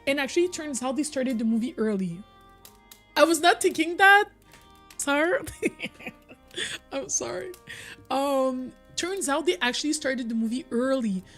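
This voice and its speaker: A woman's voice: sits high, expressive, unhurried, long pauses, fairly bright tone, faint music behind. A young woman whose first language is French, chatting casually with her viewers on a live stream, full of "like" and repeated phrases. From Quebec, originally Cameroon.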